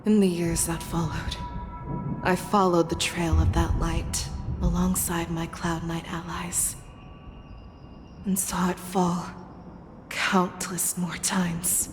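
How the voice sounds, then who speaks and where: An actress voicing a stern woman general in a game cutscene, firm in refusal and quietly reflective about her past. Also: fairly low pitch, level tone, takes it slowly, long pauses, over music. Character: stern woman general haunted by a brutal childhood. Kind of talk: video game cutscene voice acting.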